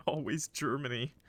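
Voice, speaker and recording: A man's voice: medium pitch, fairly bright tone. A man streaming a game with casual, jokey, slangy commentary. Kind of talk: casual commentary mixed with read-aloud narration.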